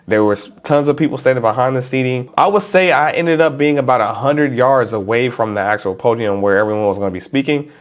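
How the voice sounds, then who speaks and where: A man with a stuffed-up, congested nose talks straight to his viewers in a loose, chatty way, full of informal slang. His voice sits at an ordinary middle pitch. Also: brisk, few pauses. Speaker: vlogger. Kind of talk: casual talk to camera.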